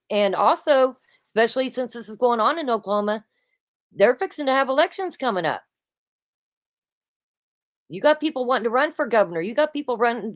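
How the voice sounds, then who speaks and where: A woman hosting a casual chat, loud-voiced and emphatic, who keeps saying "absolutely" and "listen.". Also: fairly high pitch. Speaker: host.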